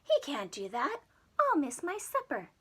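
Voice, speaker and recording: A plucky storybook boy, played by a woman, pitched high and easily flustered. He exclaims and frets in lively swoops. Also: measured pace, full character voice. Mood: alarmed. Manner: exclamatory, fretful. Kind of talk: children's storybook read-aloud.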